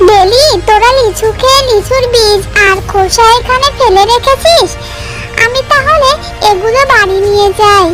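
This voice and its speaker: A woman's voice: very high-pitched, moderate pace, a bit bright, faint music behind. A woman voicing an eager, sweet little fox girl in a children's cartoon, with a childlike, simple delivery. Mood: curious, eager.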